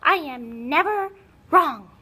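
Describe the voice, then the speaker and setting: A woman's voice: high voice, animated, takes it slowly, bright, forceful tone, full character voice. A woman voicing a grumpy, conceited old talking puppet who lectures impatiently in an educational puppet show. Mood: exasperated, scolding. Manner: haughty, exasperated, scolding, lecturing.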